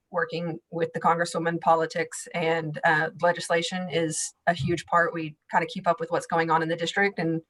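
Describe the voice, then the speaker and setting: A woman's voice: fairly low pitch, rough recording. A woman in her thirties, a congressional staffer, giving a matter-of-fact account of her background and work. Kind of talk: panel introduction.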